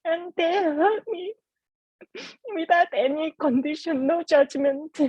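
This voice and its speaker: A woman whose first language is Korean, speaking heartfelt and halting on a video call about her business. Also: extremely high pitch, dry recording, dull audio. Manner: heartfelt, halting, earnest encouragement. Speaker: entrepreneur sharing her story. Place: home in Adelaide.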